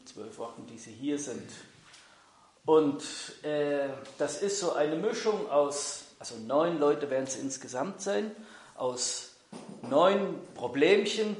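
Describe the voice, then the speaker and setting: A man leading a church gathering and interpreting a guest's words, friendly and hesitant, with frequent filler sounds. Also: fairly high pitch. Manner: friendly, hesitant with frequent ums, switching languages. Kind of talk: church announcement and live interpreting. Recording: echoey hall.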